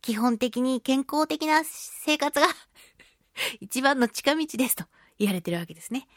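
Female radio host, very high voice, lively swings, playful solo chat, dry close studio sound. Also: a little slow, dry recording. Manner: playful, animated, acting out sound effects. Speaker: voice actor hosting her own radio talk show.

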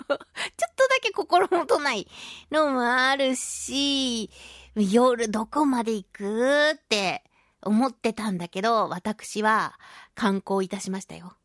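High-voiced woman hosting chatty radio talk. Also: slow, no echo, studio quality. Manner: animated storytelling with sound-effect words and playful polite phrasing. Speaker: radio show host. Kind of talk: casual solo radio talk.

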